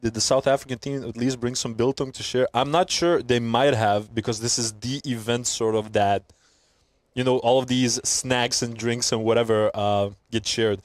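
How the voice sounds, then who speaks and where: A man's voice: medium pitch, moderate pace, dry recording. Chatty Belgian-born man commentating a stream. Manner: chatty, reads out chat messages, laughs often, excited during play. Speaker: livestream commentator. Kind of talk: casual stream chat and play-by-play commentary.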